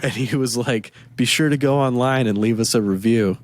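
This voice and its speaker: A man's voice: medium pitch, moderate pace. A man co-hosting a podcast, chatting casually and telling rambling stories with quoted back-and-forth. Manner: rambling, animated storytelling with quoted exchanges. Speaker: podcast co-host. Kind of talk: casual chat.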